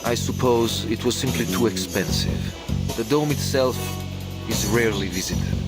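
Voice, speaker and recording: Low-voiced, courteous priest played by a man. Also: moderate pace, close to an everyday voice. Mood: solemn. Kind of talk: visual novel voice acting. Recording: music playing loudly underneath. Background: prominent plucked guitar music.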